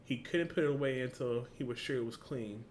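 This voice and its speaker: A man's voice: mid-range voice, a little fast. A man speaking informally and with slang, as a reaction host who reads a story aloud and comments casually. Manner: informal, slangy, swearing, exclamatory asides. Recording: clear background noise.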